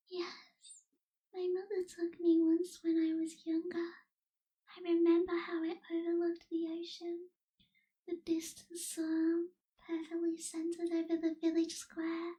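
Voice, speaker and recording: A woman acts out a gentle, sorrowful young female vampire for an ASMR roleplay. The voice is very high and soft, slipping often into a breathy whisper. The delivery is slow and very even, with wistful, formal wording. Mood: wistful, nostalgic.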